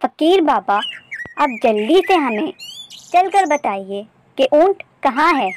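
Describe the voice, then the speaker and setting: A woman's voice: high voice, sing-song, slow, slight background noise, heavily acted. A woman voicing anxious, insistent male merchants in a children's story. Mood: pleading. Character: two anxious male merchants seeking their lost camel.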